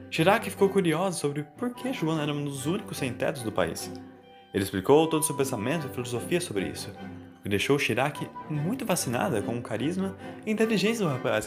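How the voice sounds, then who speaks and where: Narrador brasileiro de audioconto, com música. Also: male voice, medium pitch. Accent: Brazilian. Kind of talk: scripted audio story narration.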